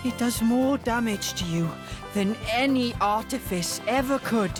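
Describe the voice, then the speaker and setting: A woman's voice: a little high, over music, close to an everyday voice. A woman playing a bossy, plain-spoken young companion in a video game cutscene. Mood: exasperated, scolding. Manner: blunt, brisk.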